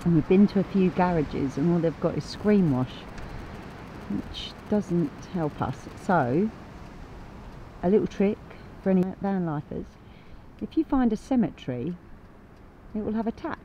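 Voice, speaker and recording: A woman's voice: on the low side, expressive, unhurried, pauses often, mellow, muffled recording, a little hiss or hum. A woman in her thirties chatting playfully in a casual travel vlog, filmed in a campervan and outdoors on a camera mic. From England. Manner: chatty and playful, often laughing. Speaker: vlogger. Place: in a campervan and out in woods and dunes. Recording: music playing underneath.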